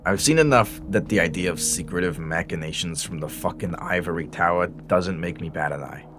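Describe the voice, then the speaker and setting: An actor playing a middle-aged, world-weary veteran police detective in an audio drama, wry and profane. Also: male voice, deep voice, brisk, over music, close to an everyday voice. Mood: jaded.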